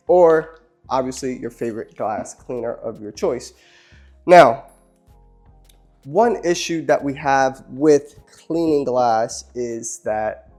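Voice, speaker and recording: Nasal man with a cold. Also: mid-range voice. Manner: relaxed, chatty, with frequent ums and uhs. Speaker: host. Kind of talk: casual product demonstration. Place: beside a car, cleaning its windshield.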